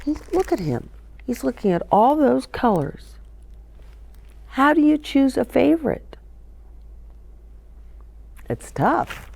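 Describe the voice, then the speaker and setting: Unhurried, animated woman children's story host. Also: sits high, creaky. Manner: expressive, talks directly to young listeners, asks questions. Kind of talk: read-aloud and chatty book talk for children.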